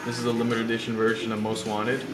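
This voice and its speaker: A man's voice: mid-range voice, monotone, fast talker, very noisy. A young man with a slight cold and sore throat, rambling through casual gameplay commentary with many fillers and restarts. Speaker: gaming channel host. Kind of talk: casual let's-play commentary. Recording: roomy sound over loud game music. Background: loud game music and engine sounds.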